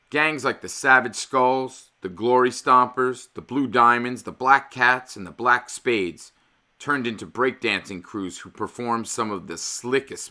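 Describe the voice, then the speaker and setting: Bright-voiced man in his fifties, expressive. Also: medium pitch. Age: 50s. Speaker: read-aloud host. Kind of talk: expressive children's picture-book read-aloud.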